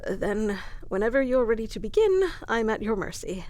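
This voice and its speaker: A woman's voice: fairly high pitch, big pitch swings, normal speed, darkish tone. A woman playing a proud, protective warrior queen in an intimate fantasy audio roleplay, speaking with formal, wry, commanding diction. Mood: wry, strained.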